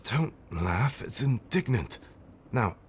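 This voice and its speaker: Guy doing a low voiced old vampire husband, doting and a bit fussy, comfort roleplay, noisy ambience behind him. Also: very animated, heavily acted. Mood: indignant storytelling. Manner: old-fashioned, genteel wording with dramatic pauses. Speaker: audio roleplay performer. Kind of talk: intimate comfort roleplay, ASMR-like storytelling.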